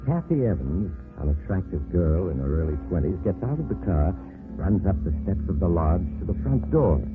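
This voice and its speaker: Sinister radio storyteller, very deep voice, slow and ominous, tinny old broadcast with music. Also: male voice, sing-song. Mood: measured. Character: sinister male storyteller, calm and teasing. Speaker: narrator. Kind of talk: 1940s radio drama narration.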